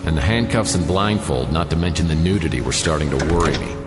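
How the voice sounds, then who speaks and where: A world-weary private eye in a radio play, very low in pitch. He narrates his misfortunes with dry, self-mocking wit. Long reverb and clear music surround the voice. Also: animated, fast talker. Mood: wry narration. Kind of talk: noir scripted narration, radio play acting.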